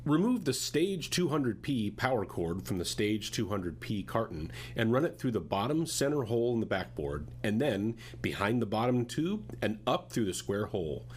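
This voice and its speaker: A man's voice: fairly low pitch, big pitch swings, normal speed, few pauses, a little mellow, breathy edge. A man reading scripted step-by-step instructional narration in a methodical, directive way.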